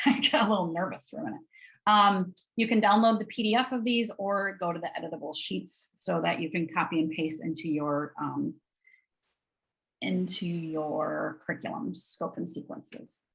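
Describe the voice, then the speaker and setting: A woman's voice: on the low side, moderate pace, gravelly, fairly bright tone, poor audio. A woman with a nasal Wisconsin accent giving an upbeat, informal webinar walkthrough of educational resources in a conversational way.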